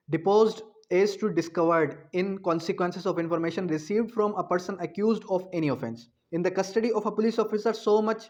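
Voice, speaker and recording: Male law lecturer, Pakistani accent, fairly high voice, didactic question-and-answer delivery, slightly muffled lo-fi recording. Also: a little mellow. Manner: didactic, asks questions and answers them himself. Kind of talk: law lecture explaining articles clause by clause. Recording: dull, lo-fi audio.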